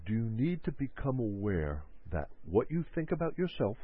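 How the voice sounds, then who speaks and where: A man's voice: medium pitch, very animated, normal speed. A man hosting a motivational self-help talk on the radio. He repeats his points and acts out people's worried inner voices. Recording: narrow phone-like radio audio.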